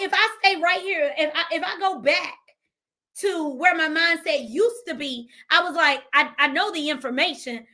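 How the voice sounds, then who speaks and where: Woman in her 30s, high bright voice, preachy emphatic livestream talk. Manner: preachy, emphatic, reads viewer comments aloud. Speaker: financial strategist and livestream host. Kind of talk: live stream motivational talk.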